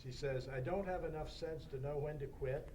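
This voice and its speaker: A man's voice: a little high, moderate pace. A man speaking informally and reading aloud at a club meeting. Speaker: club member addressing the guest. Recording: distant mic, slight background noise.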